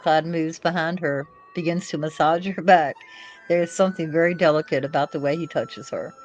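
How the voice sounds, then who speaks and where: A woman's voice: low voice, fairly even intonation, moderate pace, dull audio. A woman reading screenplay stage directions aloud as scripted narration. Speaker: co-narrator, reader. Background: faint music.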